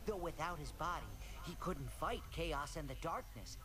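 A woman narrating a game's backstory in a calm, storytelling way. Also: deep voice, normal speed.

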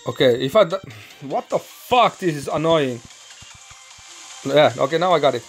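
A young man from Finland, speaking English with a Finnish accent as his second language, commenting excitedly and swearing while recording a mobile game. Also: on the high side, normal speed, faint music behind. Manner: swearing, excitable, thinking aloud, broken English. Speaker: gaming channel host.

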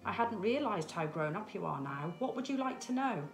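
A woman's voice: mid-range voice, lively intonation, normal speed, faint music behind, natural voice. Storybook father penguin, female reader, grown-up male, caring, firm, reassuring, roomy sound. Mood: patient.

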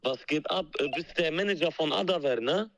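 A man's voice: on the high side, a little fast, fairly bright tone. A man on a phone call, chatting casually in street slang sprinkled with Arabic words. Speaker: phone caller. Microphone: phone call played into a stream. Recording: dull, band-limited phone call.

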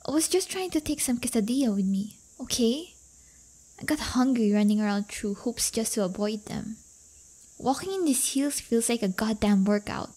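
A woman's voice: fairly high pitch, animated, moderate pace, warm tone, low-quality recording. A woman playing a brash, playful teenage delinquent girlfriend in an intimate audio roleplay, with slangy, teasing, casual talk. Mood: exasperated, rambling. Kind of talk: audio roleplay acting, intimate one-sided dialogue.

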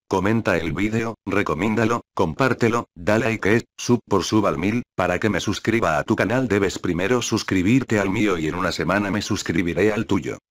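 A man reading out a scripted narration for an online video channel. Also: fairly low pitch. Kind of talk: scripted narration, read aloud. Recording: music bed behind the voice. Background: background music.

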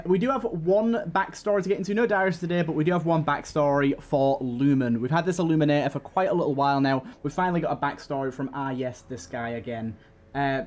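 A man's voice: a little high, a little mellow. A man hosting a gaming channel, giving casual let's play commentary.

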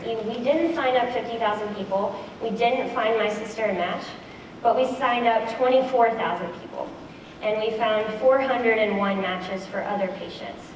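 A woman's voice: medium pitch, noisy background. A woman in her twenties giving a candid, earnest talk from a large auditorium stage on a headset mic, with frequent ums and restarts. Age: 20s. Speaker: speaker. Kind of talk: conference talk. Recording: echoey hall, heard from far off.

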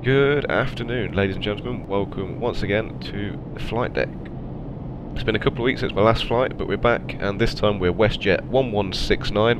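A man with a cold and a slightly raspy voice, chatting casually through a flight simulator cockpit setup. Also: fairly low pitch, background noise. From England. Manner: relaxed, chatty, many ums and okays. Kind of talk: casual narrated cockpit walkthrough.

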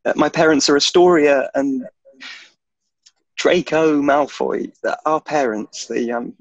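A man's voice: fairly high pitch, unhurried, dry recording. A young British man playing a nervous, chatty, self-deprecating schoolboy wizard in a play reading, with a hesitant, stammering delivery. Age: young adult. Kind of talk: theatrical table read. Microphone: video call. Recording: dull video-call audio.

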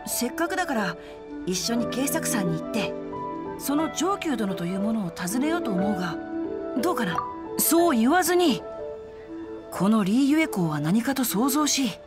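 A woman's voice: on the high side, very animated, moderate pace. A woman playing a polite, bookish teenage boy in an anime video game, speaking in a courteous, formal way. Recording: background game music.

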